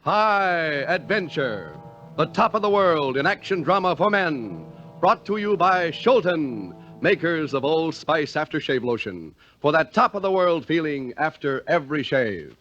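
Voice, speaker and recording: A man's voice: fairly high pitch, sing-song, measured pace, a bit bright, soft background music. A man working as a 1950s radio announcer, reading scripted copy in a polished, theatrical, emphatic way. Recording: dull vintage radio recording.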